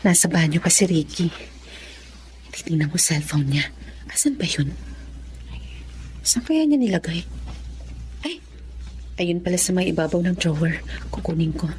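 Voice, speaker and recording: A woman's voice: lowish voice, lively intonation, moderate pace, long pauses, darkish tone, a little hiss or hum, close to an everyday voice. A woman playing a suspicious, hurt young wife and mother in an emotional Filipino radio drama. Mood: furtive.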